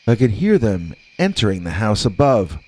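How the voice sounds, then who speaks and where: A man reading scripted scary stories as a narrator, with dramatic, deliberate delivery and drawn-out words. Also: lowish voice, sing-song, dark tone, rough recording.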